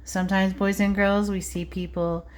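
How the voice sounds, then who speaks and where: A woman's voice: medium pitch, little pitch movement, normal speed, a little hiss or hum. A woman preschool teacher reading aloud to young children, adding simple explanations and checking in with questions. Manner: simple child-directed phrasing.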